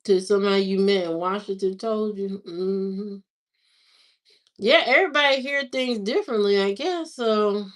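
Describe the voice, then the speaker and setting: A woman hosting a livestream, chatting casually with viewers in a slow, drawling, musing way. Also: medium pitch. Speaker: livestream host. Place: lives in Indiana, not from there.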